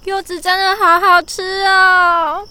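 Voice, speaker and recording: A woman's voice: very high voice, flat delivery, a little slow, sharp tone, heavily acted. A woman voicing a cute, perky, teasing little girl in a children's radio drama.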